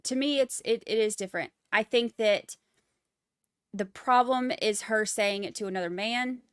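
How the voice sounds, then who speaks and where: A woman's voice: mid-range voice, moderate pace, clean tone, dry recording, studio quality. A woman with a Boston accent hosting a live trial commentary stream, chatting wryly and reading out viewer comments. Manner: chatty, wry, rambling asides.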